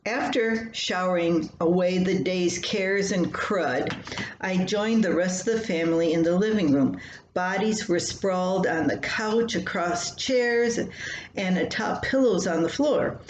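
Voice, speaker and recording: An older woman reading her own family column aloud in an unhurried, plain, homespun way. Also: lowish voice. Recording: roomy home recording with faint noise.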